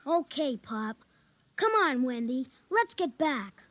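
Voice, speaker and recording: Bratty cold little american boy, high voice, old radio play. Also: very animated, takes it slowly, loud music behind the voice, heavily acted. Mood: cold, defiant. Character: spoiled, coldly insistent young son. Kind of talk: 1950s radio drama acting.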